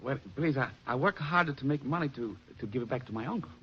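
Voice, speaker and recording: An actor playing an earnest, polite young Italian immigrant worker who speaks haltingly in an Italian accent, in 1940s radio acting. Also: male voice, mid-range voice, lively intonation, a little hiss or hum, natural voice. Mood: humble. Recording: muffled old radio recording.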